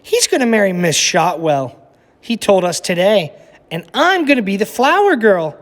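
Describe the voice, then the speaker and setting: A man's voice: sits high, big pitch swings, normal speed, a bit bright, long reverb tail, pitched above the performer's own voice. A man doing Lily, an eager little girl. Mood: insistent. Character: eager little girl mouse, dreams of being flower girl.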